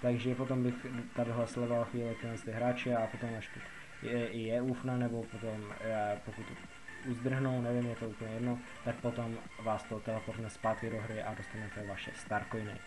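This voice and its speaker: Young Czech man, flat casual game commentary. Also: mid-range voice, background noise. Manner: rambling, repetitive, colloquial explaining. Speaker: gaming video host.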